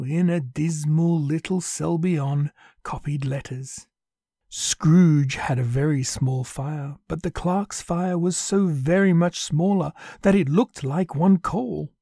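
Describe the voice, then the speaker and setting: A man's voice: a little high, creaky, dark tone, husky, no echo, studio quality. A man narrating a classic story in a theatrical manner, savoring each word. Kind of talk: dramatic audiobook narration.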